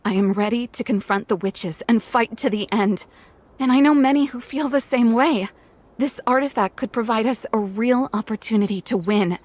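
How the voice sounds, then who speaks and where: Woman playing an earnest, high-voiced werewolf heroine. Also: normal speed, darkish tone, natural voice. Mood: urgent, pleading. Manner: earnest, pleading, resolute. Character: determined, hopeful young female werewolf seeking freedom. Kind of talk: dramatic game cutscene acting.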